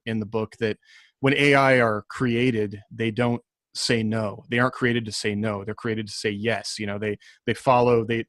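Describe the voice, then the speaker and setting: A man with a mid-range voice talks casually as an author on a discussion panel. He is reflective and halting, working out his thoughts as he goes. The sound is close and dry. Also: medium pitch, fairly bright tone, dry recording. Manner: reflective, halting, thinking aloud.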